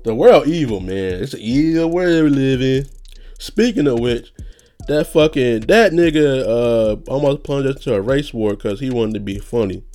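A man's voice: mid-range voice, animated, moderate pace, darkish tone. A young man talking in a loose, rambling, profane monologue, a little nasal, laughing often. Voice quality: slightly nasal. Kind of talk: casual monologue.

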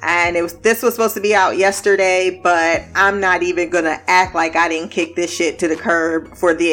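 A woman's voice: on the low side, fairly bright tone. A woman hosting a casual TV review podcast, blunt, laughing often and swearing freely. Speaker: podcast host.

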